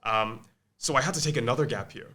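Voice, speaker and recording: Casting: twenties male guest, English accent, bright tone, chatty and self-deprecating. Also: medium pitch, quick pace. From Malaysia. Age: 20s. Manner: chatty, self-deprecating, frequent ums and restarts. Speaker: guest, agency co-founder. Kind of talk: casual podcast interview.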